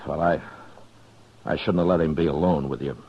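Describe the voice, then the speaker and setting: Deep American western marshal, calm, weary, authoritative, old radio drama, muffled sound. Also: male voice, moderate pace, breathy edge, full character voice. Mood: firm, gentle. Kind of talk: 1950s radio western acting. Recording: muffled, noisy old 1950s radio recording.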